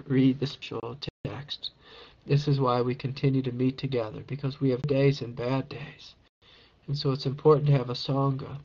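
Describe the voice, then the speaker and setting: A man with a raspy voice, a meditation teacher answering a question in a calm, encouraging spiritual talk. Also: medium pitch, lo-fi sound. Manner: gentle, calm and encouraging, with soft laughs.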